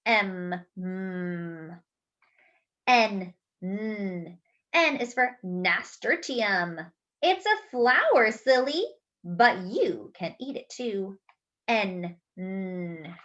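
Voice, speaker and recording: Slow, very animated woman reading to children. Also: mid-range voice, dull audio. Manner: exaggerated, deliberate enunciation with playful asides to children. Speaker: children's read-aloud host, teacher-like. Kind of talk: animated picture-book read-aloud for young children.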